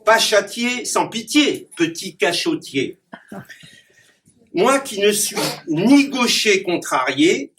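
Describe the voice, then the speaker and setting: High-voiced man reciting comic verse. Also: sing-song, slow pace. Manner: playful wordplay, chuckles at his own puns. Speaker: clown and poet. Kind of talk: reciting comic rhyming poems to a small gathering. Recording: distant mic with background noise.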